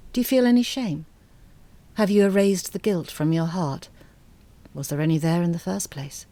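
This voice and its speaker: A woman playing an articulate, bitter middle-aged mother in an audio drama monologue, speaking in a measured, intimate way. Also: fairly low pitch, dark tone, lightly acted. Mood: wounded, accusing. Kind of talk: dramatic monologue, letter read as confession.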